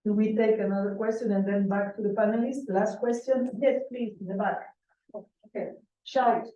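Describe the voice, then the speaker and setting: A woman's voice: medium pitch, steady tone, normal speed, mellow, a little hiss or hum. A woman from Sweden moderating a conference panel, friendly and lightly teasing. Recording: echoey room, distant dull mic.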